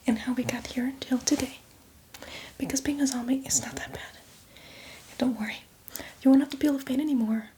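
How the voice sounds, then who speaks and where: A woman's voice: fairly high pitch, a little mellow, a little hiss or hum, lightly acted. A woman playing a hardcore, teasing zombie mentor in a whispered, coaxing ASMR roleplay with playful asides. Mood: encouraging.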